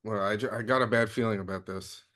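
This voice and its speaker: A man's voice: on the low side, fairly even intonation, quick pace, a bit bright, natural voice. A man reading the part of a serious, easily exasperated gunslinger on a mission, in a clipped, scolding way. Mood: exasperated, worried. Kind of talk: casual table read of a script.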